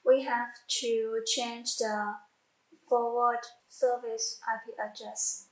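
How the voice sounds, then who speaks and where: A woman who speaks English as a second language, giving a halting step-by-step software tutorial with repeats and self-corrections. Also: fairly high pitch, level tone. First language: not English. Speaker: product tutorial presenter. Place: indoor room, demonstrating at a computer screen. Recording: echoey room sound.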